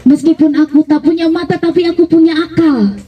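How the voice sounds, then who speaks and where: A woman voicing a frail, blind, pious old man in a live children's story, speaking haltingly and with gratitude. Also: very high voice, distant mic, lo-fi sound, voice pushed up. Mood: devout, grateful. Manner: halting, exclamatory, addressing listener as young man.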